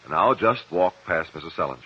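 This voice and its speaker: An actor with an American accent playing a composed, probing courtroom investigator in a 1950s radio drama, speaking in a deliberate, formal, precise way. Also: male voice, low voice, animated, slight background noise. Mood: calm, probing. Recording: muffled old 1950s radio recording.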